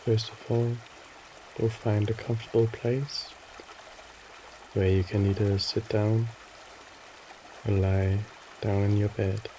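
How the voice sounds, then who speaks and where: A man leads a guided meditation in a mellow, soft-edged voice that stays very even. He gives gentle, soothing instructions in short phrases with pauses, while water trickles faintly behind him. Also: lowish voice, a little hiss or hum.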